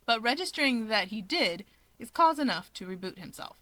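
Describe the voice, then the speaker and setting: A woman narrating fan fiction in an expressive audiobook style. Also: a little high, brisk, no echo.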